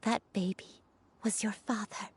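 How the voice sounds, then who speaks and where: A woman playing a gentle, forgetful old grandmother in a video game, speaking in a halting, tender way with some breathiness. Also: mid-range voice, fairly even intonation, slightly rough. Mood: wistful, troubled. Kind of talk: dramatic video game voice acting.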